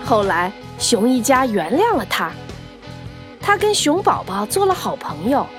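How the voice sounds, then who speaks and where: A woman narrating a children's story as a scripted storyteller, with animated delivery. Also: on the high side, measured pace. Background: background music.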